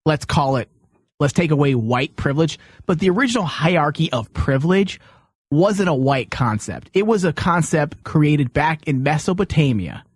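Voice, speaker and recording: Male talk show host: high voice, bossy and quick to cut in, very dry close sound. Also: expressive, husky, no echo. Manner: bossy, interrupting. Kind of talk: bantering talk show chat.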